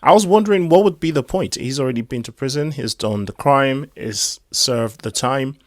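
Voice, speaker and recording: A hoarse man giving rambling, casual commentary on crypto news. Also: medium pitch, moderate pace, no echo, clean studio sound. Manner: rambling, chatty asides.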